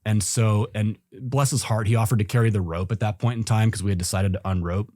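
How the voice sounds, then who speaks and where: A man's voice: fairly low pitch, steady tone, a little fast, darkish tone, dry recording, studio quality. A man telling a story as a podcast guest, easygoing and rambling, with lots of fillers and restarts. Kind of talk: casual storytelling in an interview.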